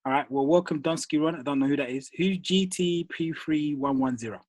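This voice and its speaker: A man's voice: a little high, fairly quick, a little mellow, no room sound. A man hosting a group call, reading names and firing up his team in a casual, motivational way. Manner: casual, calls people bro and dude.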